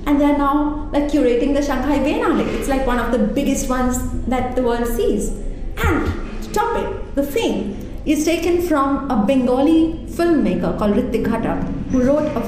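A woman from India talks through her ideas with lively emphasis, often restarting mid-sentence. English is not her first language. Her voice is mellow and warm, recorded in a very reverberant room with a faint hum. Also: high voice. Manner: animated and emphatic, thinking aloud with restarts. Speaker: interview guest, arts and culture figure. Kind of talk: conversational interview.

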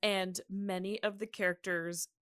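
A woman's voice: medium pitch, little pitch movement, measured pace, a bit bright, clean tone, dry recording, studio quality. A woman co-hosting a podcast, telling researched history in an informal, conversational way. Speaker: podcast co-host. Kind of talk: casual podcast chat with researched history narration.

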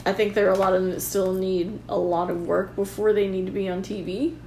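A woman's voice: medium pitch, level tone, a little fast, dark tone. A woman co-hosting a podcast, blunt and swearing freely, often repeating herself as she vents. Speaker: podcast co-host. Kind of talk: casual chat about wrestling. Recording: echoey room with faint background noise.

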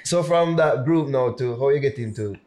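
A man hosting a casual podcast, greeting guests with relaxed slang like "bless up.". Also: medium pitch, moderate pace. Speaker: podcast host. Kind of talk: casual interview.

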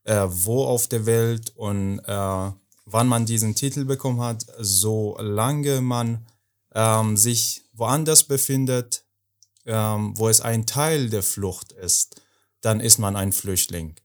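A young man from Afghanistan co-hosting a podcast, talking hesitantly with many pauses and fillers as he searches for words. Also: on the low side. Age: young adult. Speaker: podcast co-host. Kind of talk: casual podcast talk.

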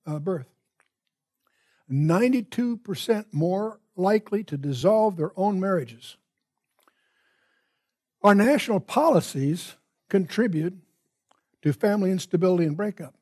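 Older male Bible teacher, American, roughened voice in a raised register, deliberate emphatic lecture delivery. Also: sits high, darkish tone. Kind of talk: Bible study lecture opened with prayer.

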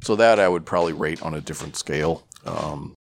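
Very deep, gravelly man chatting. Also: a little fast, slight background noise. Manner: hesitant, searching for words, casually profane. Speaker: podcast co-host reviewing a film. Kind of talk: casual film chat.

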